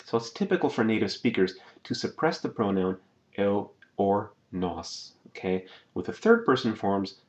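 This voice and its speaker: A man teaching a language lesson over slides. He talks patiently, says words slowly and keeps checking in with "okay?". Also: on the low side, animated.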